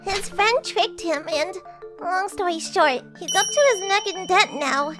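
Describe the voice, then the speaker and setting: A woman's voice: extremely high pitch, a little slow, a bit bright, soft background music. A woman voicing a worried, earnest little girl in an anime dub.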